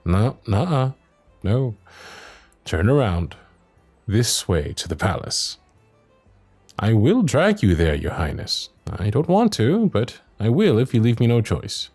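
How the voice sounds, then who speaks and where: A man's voice: fairly low pitch, wildly swooping pitch, takes it slowly, long pauses, darkish tone, husky, soft background music, full character voice. A man playing a loyal, protective royal knight in a fantasy ASMR roleplay, speaking in courtly phrases, both teasing and earnest. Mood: amused, teasing. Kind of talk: ASMR roleplay acting, fantasy audio drama.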